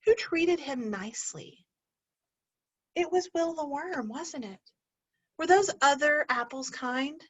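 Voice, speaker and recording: A woman's voice: high-pitched, slow, long pauses. A woman teacher reading a picture book aloud to children, stopping often to ask them questions. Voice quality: animated storyteller, asks children questions. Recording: dull lo-fi recording.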